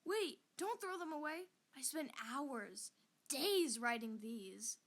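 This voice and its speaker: A woman's voice: sits high, lively intonation, slow pace, heavily acted. A woman voicing a needy cartoon star with a coaxing, pleading manner.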